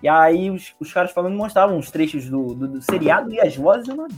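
A man's voice: a little high, fairly quick, faint music behind. A man with a Brazilian Portuguese accent, co-hosting a casual chat and talking animatedly. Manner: animated, hums and imitates theme songs. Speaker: podcast co-host. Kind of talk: casual chat about cartoons.

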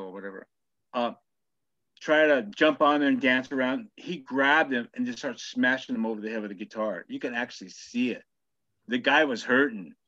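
A man's voice: medium pitch, a bit bright, dry recording. Older male guest, from England, crude and easygoing, lo-fi dry audio. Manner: laid-back, crude, laughs easily. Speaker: guest musician. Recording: dull, dry, lo-fi sound.

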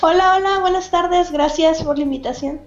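Chatty high-voiced woman on a call. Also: normal speed, roomy sound, dull audio, faint music behind. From Mexicali, Baja California, Mexico. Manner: chatty, many fillers and restarts, laughs often. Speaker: guest, cartoonist and event organizer. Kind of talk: casual interview chat.

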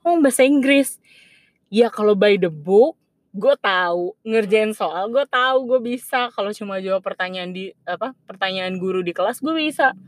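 A young woman co-hosting a casual podcast chat, in relaxed slang with English words mixed in. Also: medium pitch, moderate pace. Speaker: podcast co-host.

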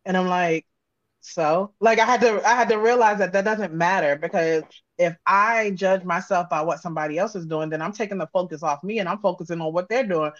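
A woman's voice: fairly low pitch, a little fast, no room sound. Woman in dull, dry lo-fi audio. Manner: candid, reflective, quotes own self-talk. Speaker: interview guest, audio drama creator. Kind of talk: casual conversational interview answers.

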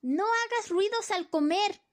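A woman's voice: very high voice, unhurried. A woman acting a flippant, bossy wife who nags her husband, in an amateur radio play. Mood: scolding. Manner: flippant, nagging. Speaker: student radio drama actor.

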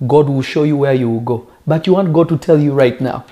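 Mellow-voiced male preacher, native Lingala speaker. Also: mid-range voice. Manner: emphatic, deliberate, with repetition and rhetorical questions. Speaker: preacher teaching scripture. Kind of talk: conversational teaching sermon.

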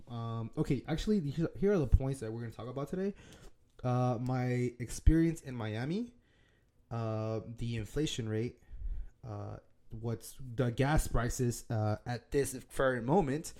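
Raspy, very dark-voiced man podcasting. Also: mid-range voice. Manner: rambling, loose, full of fillers and restarts. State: raspy from allergies. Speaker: podcast host. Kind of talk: casual solo podcast talk.

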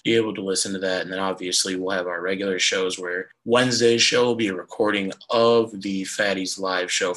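A young man hosting a casual sports podcast, hoarse from losing his voice, rambling with lots of fillers. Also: low voice, pushed and bright, rough recording. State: hoarse, lost voice. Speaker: podcast host.

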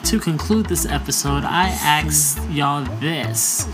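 A woman's voice: deep voice, slow pace, over music. A woman hosting a casual podcast, talking in a laid-back, drawling way. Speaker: podcast host. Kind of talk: casual chat.

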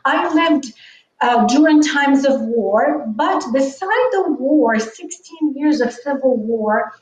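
A woman's voice: high voice, expressive, slow pace. A woman from Lebanon in her thirties or forties, a business coach telling her story in an earnest, reflective way. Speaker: guest, online business coach. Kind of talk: conversational podcast interview. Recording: echoey, distant, dull sound.

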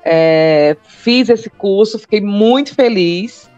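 A woman's voice: mid-range voice, slow, a little mellow, dry recording, muffled recording, faint music behind. A woman in her thirties from Maceió with a northeastern Brazilian accent, a comedian telling her story in a casual interview and repeating words for emphasis. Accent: Northeastern Brazilian (Alagoas). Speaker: comedian guest.

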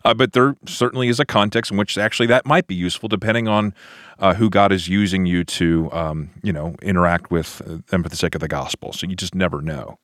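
A man's voice: sits low, fast, a bit bright, no room sound, clean studio sound. An American man, a pastor hosting a podcast, asking questions and commenting thoughtfully in a conversational way. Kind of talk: conversational interview.

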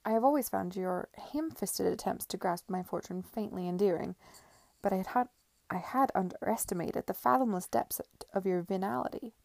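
A woman's voice: mid-range voice, moderate pace, vocal fry, a little mellow, dry recording, natural voice. A woman voicing a haughty, cutting old aristocratic aunt in a period audiobook. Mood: scornful. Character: imperious, venomous old aristocratic aunt with canny wit.

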